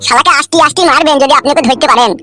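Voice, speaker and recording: গ্রামবাংলার টানে একজন পুরুষ কার্টুনে এক বাড়িমুখো, নরম অথচ মুখখারাপ তরুণ স্বামীর গলা দিচ্ছে, অনুনয়ের সুরে।. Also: male voice, very high-pitched, very fast, sharp tone, no room sound, faint music behind. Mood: pleading. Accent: rural West Bengali. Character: pleading, homesick young husband, meek but foul-mouthed. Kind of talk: amateur comedy cartoon dub.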